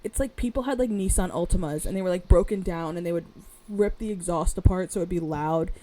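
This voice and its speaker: A young woman with a raspy, mellow voice sits at the mic and rambles through a casual podcast chat. She sits a bit low in pitch and tells her stories in a chatty, animated flow. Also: brisk. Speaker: podcast co-host.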